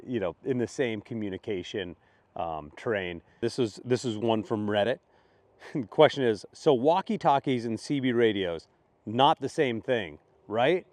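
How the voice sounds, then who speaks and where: A man hosts an informal question-and-answer session in a middle-pitched voice. He explains things plainly and conversationally, in a matter-of-fact way. The sound is dry, with very little room in it. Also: lively intonation, a little slow, a little mellow, dry recording. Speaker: host answering community questions. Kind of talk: casual explanatory Q&A.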